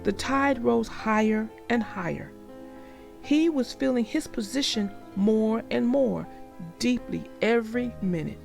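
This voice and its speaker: A woman reading a children's story aloud and then talking gently about its lesson. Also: on the high side, unhurried, gravelly, faint music behind. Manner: gentle, reflective, explains morals.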